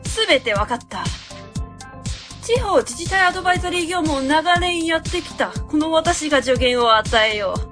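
A woman's voice: high-pitched, lively intonation, normal speed, music in the background. A woman playing a pompous, self-assured consultant who gives commanding advice in a web radio drama. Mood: pompous, instructing.

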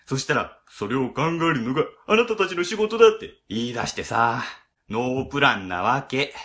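A man's voice: medium pitch, expressive, normal speed, fairly bright tone, close to an everyday voice. A man playing a harried, grumbling but easygoing office section chief in an amateur radio drama, explaining things casually with exasperation. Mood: exasperated.

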